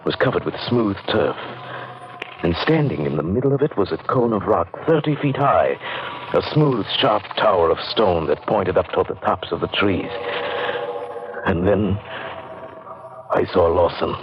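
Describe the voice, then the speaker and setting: An actor playing an earnest gentleman narrator in an eerie old time radio drama, with measured, literary delivery. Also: sits low, expressive. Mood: tense wonder. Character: earnest gentleman narrator recounting eerie events, increasingly spellbound. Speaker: narrator and lead in a radio play. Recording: muffled, noisy old radio recording.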